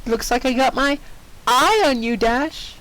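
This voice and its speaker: A man's voice: very high voice, measured pace, bright, forceful tone, voice pushed up, exaggerated voice, poor audio. A man voicing a cheerfully sadistic female cartoon pony from a fan story, chirpy and casually cruel. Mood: gleeful.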